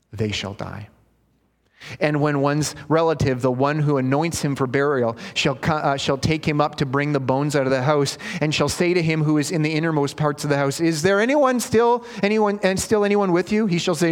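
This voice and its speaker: A male pastor preaching a sermon, reading scripture and then explaining it in an earnest, conversational way. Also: on the high side. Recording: long hall reverb.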